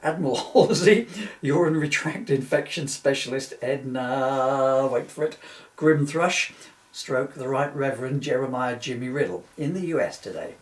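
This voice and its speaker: A man reading from his own book in an informal way, with jokey, self-mocking, rambling asides. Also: medium pitch. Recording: echoey room, distant mic.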